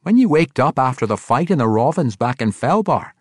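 A man's voice: medium pitch, very animated, a little fast, husky, no room sound, voice pushed up. Guy putting on a serious dwarf priest voice, dry studio read. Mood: earnest. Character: dwarf high priest, awed and earnest.

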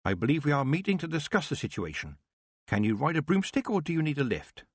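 A man voicing a brisk woman who is a queen and a witch, rallying others firmly. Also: fairly high pitch, sing-song, breathy edge, no room sound, voice pushed up.

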